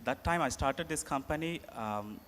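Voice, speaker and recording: A man's voice: medium pitch, animated, a bit bright. A man speaking earnestly as an entrepreneur on a conference panel. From Sri Lanka. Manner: earnest, plain halting phrasing. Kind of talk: panel talk. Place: large conference hall. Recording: echoey hall, faint room noise.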